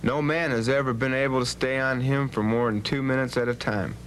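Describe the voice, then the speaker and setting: A man's voice: medium pitch, steady tone, a little fast, fairly bright tone, close to an everyday voice. A man playing a bold, plain-spoken legendary cowboy rancher in an educational radio drama, with a folksy, authoritative manner. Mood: earnest, warning. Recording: hum and faint background noise.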